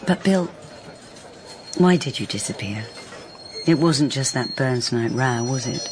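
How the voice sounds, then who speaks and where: An actress playing a forthright, sardonic private detective in a radio drama, with a blunt, dry manner. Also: female voice, a bass voice, lively intonation, measured pace, noisy background. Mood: probing.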